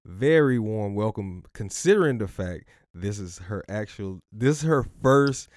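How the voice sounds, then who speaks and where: A man's voice: lowish voice, expressive, unhurried, darkish tone, no room sound, clean studio sound. A man hosting a casual podcast interview as a relationship coach, playful and loose, often repeating and stumbling over words.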